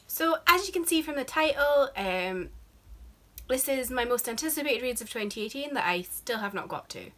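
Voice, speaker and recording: A woman's voice: sits high, lively intonation, normal speed, background noise. A young woman, chatting to camera as a book vlogger. She has a cold and sounds nasal, and she rambles with lots of fillers. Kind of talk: casual chat to camera.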